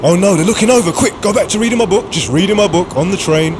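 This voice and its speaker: A man's voice: high voice, fast talker, background noise, voice pushed up, lightly acted. A man playing a nervous, gossipy commuter muttering furtive thoughts to himself in a radio play. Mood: furtive, anxious. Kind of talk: radio play inner monologue.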